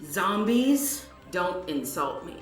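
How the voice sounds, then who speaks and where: A woman acts out an imperious sorceress from a fantasy audio story. Her haughty, measured voice is on the low side and rings with roomy echo over faint music. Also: sing-song.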